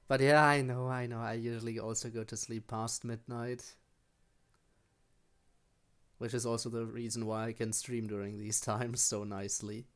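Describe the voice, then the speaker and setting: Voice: mid-range voice, flat delivery, moderate pace, clean tone. A game streamer chatting casually to viewers while playing, wandering off into rambling asides.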